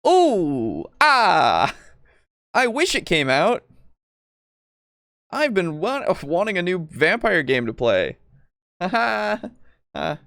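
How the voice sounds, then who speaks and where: A man hosting a casual tabletop gaming livestream, talking in a halting way with jokey, self-deprecating asides. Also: high-pitched, huge pitch swings, vocal fry, a bit bright, no room sound, studio quality. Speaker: host of a tabletop roleplaying livestream.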